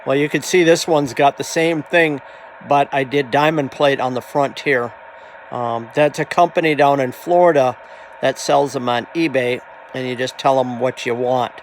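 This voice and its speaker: A man giving a casual, halting, rambling hands-on demonstration as a hobbyist presenter. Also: mid-range voice, steady tone. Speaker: hobbyist presenter showing his CB radio. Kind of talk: casual hands-on show-and-tell. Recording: noisy amateur camera audio. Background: clear background noise.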